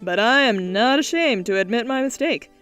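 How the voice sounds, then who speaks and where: A woman voicing a smooth-talking rich industrialist man in polished, formal, glib speech. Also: on the high side, expressive, moderate pace, a bit bright, faint music behind.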